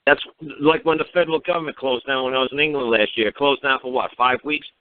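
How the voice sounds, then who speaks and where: A man's voice: mid-range voice, quick pace, a little hiss or hum. A man ranting informal advice on a phone-in talk, blunt and emphatic, swearing freely and firing off mocking rhetorical questions. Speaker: talk show speaker advising a caller. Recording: narrow-band internet radio call.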